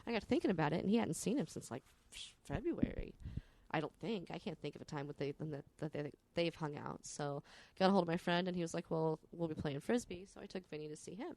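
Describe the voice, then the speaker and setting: A woman, a podcast co-host, chatting and telling personal stories in an animated, bantering way with slang and some swearing. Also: deep voice, quick pace, creaky, low-quality recording. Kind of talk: casual chat and personal storytelling.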